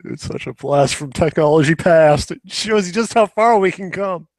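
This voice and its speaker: A man co-hosting a podcast, chatting casually and acting out his stories in an animated way. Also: fairly high pitch, moderate pace, no echo. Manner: animated storytelling with acted-out quotes. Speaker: podcast co-host. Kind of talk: casual chat about films and video games.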